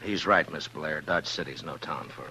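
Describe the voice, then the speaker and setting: Frontier marshal: low, bright-edged, dry and steady, vintage radio drama. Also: male voice, normal speed, full character voice. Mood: careful, hesitant. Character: steady, laconic male frontier marshal of the Old West. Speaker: actor in a radio western. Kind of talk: 1950s radio drama acting. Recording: humming, noisy 1950s radio recording.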